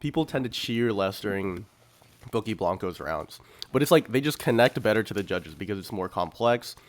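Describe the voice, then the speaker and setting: Male co-host with a fairly low voice, conversational and informal, explaining casually. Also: expressive, normal speed. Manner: conversational, slangy, frequently says like. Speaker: podcast co-host.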